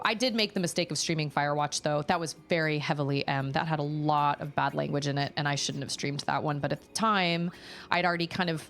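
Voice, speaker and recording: A woman streamer chatting casually at home while playing a game live, thinking aloud and restarting her sentences often. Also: lowish voice. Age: adult parent.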